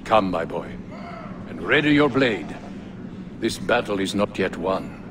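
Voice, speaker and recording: An actor playing a wise, fatherly older male mentor who speaks in a gentle, reflective way. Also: lowish voice, animated, measured pace, noisy background. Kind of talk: video game cutscene acting. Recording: game score under the dialogue.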